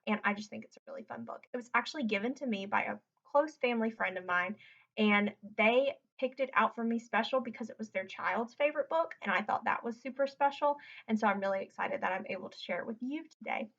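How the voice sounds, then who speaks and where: A woman's voice: a little high, fast, fairly bright tone, highs cut off. A woman reads a picture book aloud and chats in between, explaining things simply as if to young children. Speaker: read-aloud host. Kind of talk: picture book read-aloud with chatty asides. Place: indoors at home, sitting on the floor.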